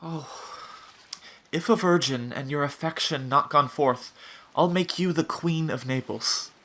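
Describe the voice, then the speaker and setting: An actor playing a grieving, lovestruck young shipwrecked prince, speaking earnest verse in a Shakespearean audio drama. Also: male voice, on the high side, measured pace, pushed and bright. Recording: noisy remote online recording.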